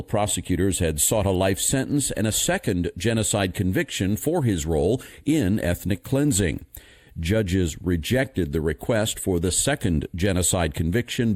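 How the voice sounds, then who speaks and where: Guy with a lowish voice reading the news, measured and formal, really dry recording. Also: darkish tone, dry recording. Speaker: news reader. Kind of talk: scripted news narration.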